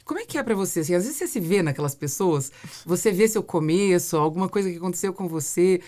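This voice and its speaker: A woman's voice: on the low side, lively intonation, quick pace, dark tone. A woman with a Brazilian accent hosting a podcast, speaking in a casual, conversational interview style. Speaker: podcast host.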